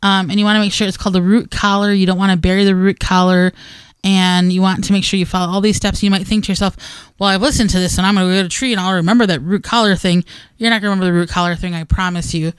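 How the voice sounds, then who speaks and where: Clear-voiced American woman chatting on radio. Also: mid-range voice, level tone, fast talker, dry recording. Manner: conversational, plain-spoken, talks in hypothetical asides. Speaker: radio show co-host. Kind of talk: casual gardening advice chat.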